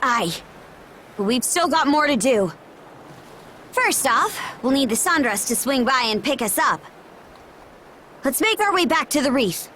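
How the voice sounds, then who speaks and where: A woman voicing a bold, energetic young warrior woman in a video game, with hearty battle calls. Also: high-pitched, unhurried, long pauses, slight background noise, exaggerated voice. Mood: relieved, resolute.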